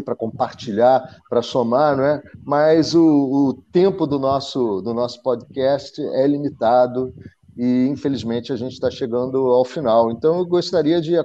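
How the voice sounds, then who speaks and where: A man hosting a podcast, encouraging and passing the turn to each guest. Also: medium pitch. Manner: warmly encouraging, moderates turns. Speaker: podcast host. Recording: dull lo-fi sound.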